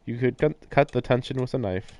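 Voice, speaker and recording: A man's voice: mid-range voice, fairly quick, mellow, dry recording. A man reading a visual novel's narration aloud on a stream, breaking into casual commentary.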